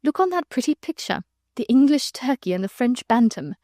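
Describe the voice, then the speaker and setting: A woman's voice: on the high side, a little fast, warm tone, no echo, clean studio sound, close to an everyday voice. A woman reading aloud, voicing a merry young society wife with a lightly sarcastic edge.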